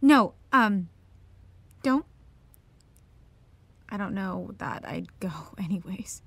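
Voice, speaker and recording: A woman's voice: mid-range voice, expressive, slow pace, vocal fry, breathy edge, exaggerated voice. An actress playing a dejected, guarded teenage girl, hesitant and clipped, in naturalistic audio drama dialogue.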